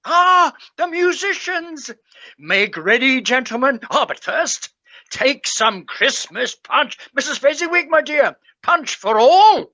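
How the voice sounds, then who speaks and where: A woman acting a jolly, hearty old gentleman employer in a radio play, hallooing and exclaiming exuberantly. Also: high-pitched, expressive, slow, muffled recording, full character voice. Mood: merry, festive.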